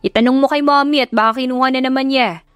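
A woman's voice: high-pitched, fairly even intonation, quick pace, clean tone, no echo, pitched above the performer's own voice, natural voice. Young husband, playful and sly, read by a woman narrator, dry close sound. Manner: easygoing, teasing.

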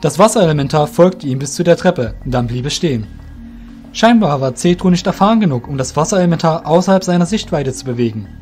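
A man's voice: fairly high pitch, lively intonation, quick pace, a little mellow. Man narrating a fantasy tale over music. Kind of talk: scripted fantasy audio drama narration.

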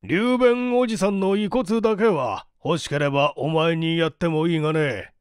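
A man voicing a firm older uncle in a storybook, speaking in a blunt, measured, familiar manner. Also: on the high side, smooth, dry recording, studio quality, lightly acted.

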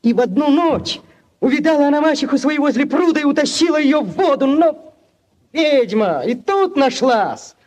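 An actor playing an ardent young village lad in love, in theatrical 1940s Soviet radio drama style. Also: male voice, very high voice, moderate pace. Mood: storytelling. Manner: theatrical, expressive storytelling. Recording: vintage 1940s radio recording.

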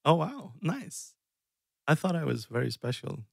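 A man's voice: on the high side, very animated, unhurried, gravelly, husky, no echo, clean studio sound. A man from Iceland in his thirties, chatting casually and rambling on a music live stream, self-deprecating and chuckling often. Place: dark room indoors. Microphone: headset.

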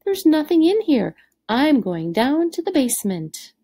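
A woman voicing an excitable, hungry father pig from a picture book, in an exclamatory, expressive way. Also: high voice, unhurried, voice pushed up, heavily acted. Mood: frustrated.

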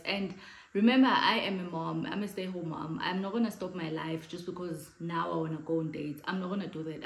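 South African woman with a big voice, late 30s, chatty vlogger talking to camera, room echo. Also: lowish voice. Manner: chatty, animated hand gestures, mixes in Xhosa phrases. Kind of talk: casual chat to camera. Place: living room, indoors, in front of a sofa.

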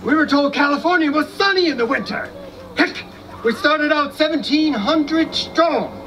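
Man playing complaining old-time army sergeant, very high voice, folksy theatrical talk, far from mic, noisy outdoors. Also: animated, normal speed. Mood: grumbling. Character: aggrieved, folksy older American dragoon sergeant, 1840s. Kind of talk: stage reenactment monologue. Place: outdoor amphitheater in a park. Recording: distant outdoor microphone, background noise.

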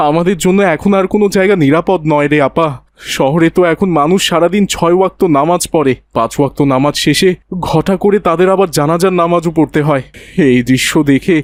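Man playing a frightened, higher-voiced younger brother. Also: dry recording, close to an everyday voice. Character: frightened, weary younger brother fleeing war. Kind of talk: cartoon voice acting.

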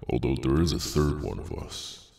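A man voicing a cursed, inhuman henchman in an ASMR roleplay, bored, sardonic and menacing, with an unhurried dry delivery. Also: lively intonation, a little mellow, recorded from a distance, heavily acted. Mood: dryly explaining. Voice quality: very deep, gravelly. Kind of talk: ASMR audio roleplay, slow dramatic monologue.

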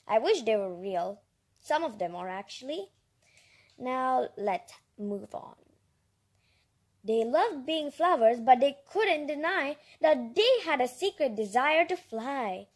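A woman's voice: high-pitched, lively intonation, slow pace. A female reader reading a picture book aloud with chatty asides, in a childlike, enthusiastic, exclaiming manner. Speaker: storybook reader and host.

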